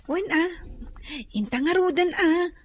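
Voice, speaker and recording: A woman's voice: very high voice, takes it slowly. An actress playing a chatty young female student and loyal friend in an Ilocano radio drama. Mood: cheerful.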